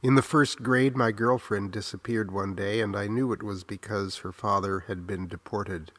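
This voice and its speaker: A man in his sixties with an American accent, reading a reflective scripted memoir in a measured, literary, earnest way. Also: on the low side, steady tone, fairly bright tone. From Los Angeles, United States. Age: 60s. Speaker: memoir narrator.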